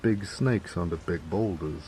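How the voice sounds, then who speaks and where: An actor playing a jovial, affectionate big 1920s mobster with an American accent, in a folksy, teasing noir audio drama. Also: male voice, deep voice, unhurried, mellow, slight background noise. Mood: playful. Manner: folksy, teasing, chatty, chuckling. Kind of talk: noir romance audio roleplay, 1920s gangster slang. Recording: faint rain ambience behind voice. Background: rain.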